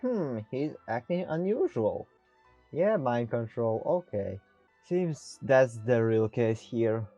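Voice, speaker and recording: Male gamer, non-native English, mellow mid-pitched voice, casual hesitant commentary, dull microphone. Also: big pitch swings, slow, no room sound, faint music behind. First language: not English. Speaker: gaming streamer.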